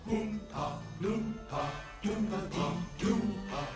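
Performers voicing small, moralising factory workers, singing a preachy chant in an animated musical. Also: medium pitch, slow pace, far from the mic, close to an everyday voice. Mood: preachy, singsong. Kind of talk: singsong cartoon musical number. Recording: roomy echo under loud music.

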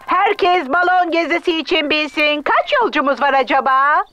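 A woman voicing a busy, brisk rabbit lady in a preschool cartoon, announcing things. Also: high-pitched, sharp tone, lo-fi sound, slight background noise. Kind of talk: Turkish-dubbed preschool cartoon acting.

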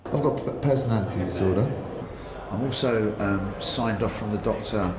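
A man in his thirties, a prison inmate speaking haltingly in his cell. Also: on the low side, crowd noise. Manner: hesitant, halting. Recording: echoey cell with noise.

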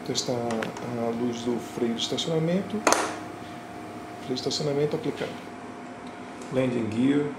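A man calmly and methodically walking through his home flight simulator, recorded on a camera in a small room. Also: mid-range voice, a little slow, long pauses. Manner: calm, methodical, pointing things out. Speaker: flight sim hobbyist. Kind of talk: casual show-and-tell narration. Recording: roomy, with loud fan and engine noise.

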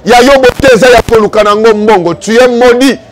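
A man's voice: very high voice, brisk. A man with a Congolese accent preaching a fervent evangelical sermon, exhorting and accusing with repeated rhetorical questions.

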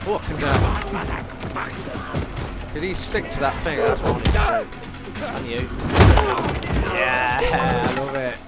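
A man's voice: mid-range voice, unhurried, long pauses. A man giving casual gameplay commentary as he plays a video game. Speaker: gamer playing on his channel. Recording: loud game music behind.

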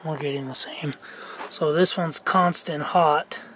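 A man, casually thinking aloud lots of ums and soft muttering while he tests wiring outdoors. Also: on the high side, steady tone, unhurried, a little hiss or hum. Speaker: vlogger. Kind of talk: casual hands-on narration while testing a tractor's wiring. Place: outdoor yard and garage workshop.

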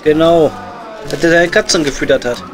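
A man's voice: on the high side, moderate pace, close to an everyday voice. A man with a Ruhr accent playing a blunt, teasing coal miner bantering in a pub in an improvised audio comedy. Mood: chatty. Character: gruff, bantering working-class male coal miner in a pub. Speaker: comedy performer. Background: music.

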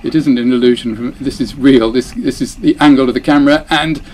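A man's voice: mid-range voice, fairly even intonation, a little fast, darkish tone, a little hiss or hum. Chatty man commenting on a race. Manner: chatty, self-deprecating, breaks off mid-phrase. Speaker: dinghy racing sailor. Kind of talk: voice-over commentary on race footage.

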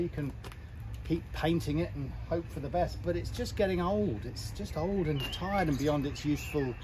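A man in his fifties talking candidly and thinking aloud as he works on a boat in an outdoor yard, recorded on a nearby camera. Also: on the high side. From England. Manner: chatty and candid, thinking aloud while working. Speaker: boat breaker. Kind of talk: hands-on yard vlog. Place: outdoor boatyard. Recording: outdoor noise with birds and traffic.

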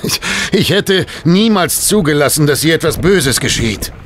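An actor in a German audio drama playing a bitter, lovesick and possessive gangster with an intense, defiant manner. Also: male voice, a little high, expressive, moderate pace, a little hiss or hum, lightly acted. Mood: despairing.

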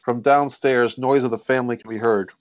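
A man's voice: medium pitch, steady tone, a little fast, no echo, highs cut off. A man hosting a script read and reading out the stage directions. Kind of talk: scripted screenplay narration. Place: indoors on a group video call.

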